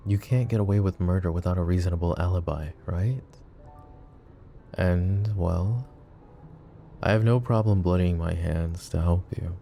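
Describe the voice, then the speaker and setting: A man playing a possessive, protective mafia boss in an intimate ASMR roleplay, speaking in a hushed, deliberate, tender way that often dips toward a whisper. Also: sits low, vocal fry, faint music behind. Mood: soothing. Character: possessive, protective male mafia boss, smooth menacing devotion.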